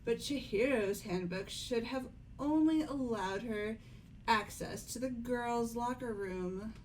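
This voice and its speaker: A woman playing a composed, doubting young aristocratic girl with measured delivery in an amateur game dub. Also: a little high, darkish tone, slight background noise, low-quality recording.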